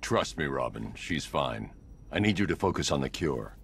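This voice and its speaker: An actor with an American accent playing a grim, commanding masked vigilante in a video game, stern and terse. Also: male voice, extremely low pitch, big pitch swings, moderate pace, vocal fry, slight background noise, exaggerated voice. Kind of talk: video game dramatic acting.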